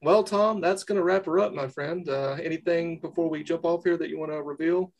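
Laid-back host with a faint Southern accent. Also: male voice, fairly high pitch, fairly even intonation, fairly quick, creaky, lo-fi sound. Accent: faint North Carolina accent, mixed with New England. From North Carolina. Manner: laid-back, jokey, laughs often. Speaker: podcast host. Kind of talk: casual podcast interview.

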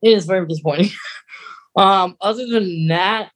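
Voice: mid-range voice, moderate pace, fairly bright tone. A podcast co-host chatting casually about fan news in a wry, conversational way.

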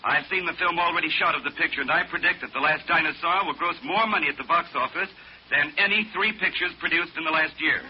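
A punchy, self-important American gossip columnist on 1940s radio, acted by a man. He declaims quickly in a highish voice, through a muffled recording with faint noise. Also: close to an everyday voice. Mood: breathless, promotional. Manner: emphatic, declamatory. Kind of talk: 1940s radio broadcast announcing.

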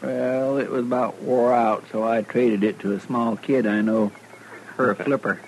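An actor playing a folksy, hard-up frontier sidekick with a rural Western drawl in an old-time radio western. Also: male voice, mid-range voice, steady tone, normal speed, close to an everyday voice. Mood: easygoing, grumbling. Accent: rural Western American drawl. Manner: folksy, rambling, colloquial. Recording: noisy old radio drama recording.

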